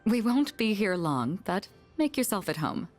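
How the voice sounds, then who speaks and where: A woman's voice: medium pitch, very animated, normal speed, a little mellow, faint music behind, lightly acted. A woman playing a refined young heiress in a video game, composed and courteous. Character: poised, refined young heiress with quiet authority. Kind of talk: formal video game dub.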